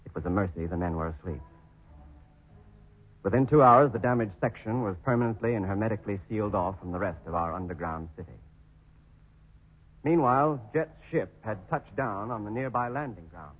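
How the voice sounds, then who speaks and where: Muffled old radio drama: grave British space chronicler, low and rough-edged, deliberate storytelling. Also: male voice, expressive. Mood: solemn, descriptive. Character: solemn male crewman narrating a space chronicle. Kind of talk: 1950s radio serial narration. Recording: muffled, band-limited 1950s radio recording.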